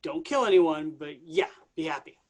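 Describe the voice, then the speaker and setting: A woman's voice: low voice, animated, moderate pace, a little mellow. A woman playing a vain, bored young male messiah who talks in slacker slang, in a staged reading. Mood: bored, offhand.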